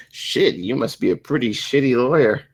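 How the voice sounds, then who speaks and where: A woman playing a slow-witted, earnest slacker dude who cleans pools, in a comedy table read. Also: rumbling low, sing-song, a little mellow, heavily acted. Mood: dopey. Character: dim, laid-back slacker dude pool cleaner.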